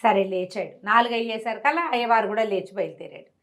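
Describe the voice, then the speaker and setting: A woman telling a devotional story in lively, conversational Telugu speech, often repeating words and restarting. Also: fast. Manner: lively, rises and drops in pitch and volume.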